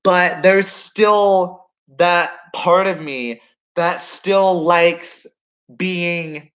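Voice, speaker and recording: A man's voice: high-pitched, very slow, a bit bright. A nineteen-year-old man rambling through a casual reaction vlog at home, musing and questioning himself as he talks. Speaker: vlogger.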